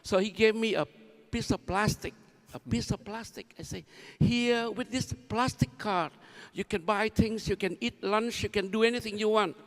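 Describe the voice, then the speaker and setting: A seventy-year-old man from Vietnam with a Vietnamese accent, giving an informal speech with personal anecdotes in halting, repetitive phrases. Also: sits high. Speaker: conference speaker, new board member. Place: large conference hall. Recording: echoey large hall sound.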